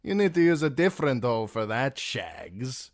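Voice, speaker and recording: A man's voice: mid-range voice, sing-song, normal speed, no room sound, studio quality, voice pushed up. A man doing a sneering sellsword with a Dornish drawl. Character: sly male sellsword with a drawl, mocking.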